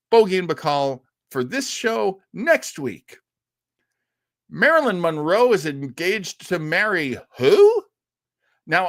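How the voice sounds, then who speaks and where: Guy hosting a radio fan livestream, super animated pitch, rambly and halting, excited, dry room sound. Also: dry recording. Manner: rambling, halting, chuckles and goes off on tangents; enthusiastic. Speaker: host of a livestreamed old-time radio listening show. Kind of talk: casual chatty livestream talk to viewers.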